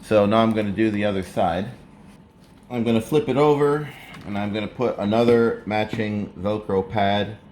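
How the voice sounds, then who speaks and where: Low-voiced man giving a casual tutorial, background noise. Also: a little mellow. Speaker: presenter of a how-to video. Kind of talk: casual hands-on tutorial narration. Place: indoors, living room at a table. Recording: clear background room noise.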